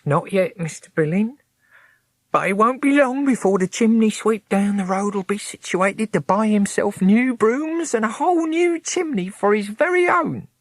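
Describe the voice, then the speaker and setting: A man's voice: high-pitched, animated, moderate pace, no echo, voice pushed up. A man voicing a sly young prisoner. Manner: knowing, insinuating.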